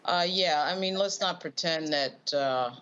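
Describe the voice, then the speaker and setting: A woman's voice: sits low, measured pace, a bit bright, smooth. A woman speaking as a panelist, giving casual, blunt political commentary. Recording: dull, lo-fi remote feed.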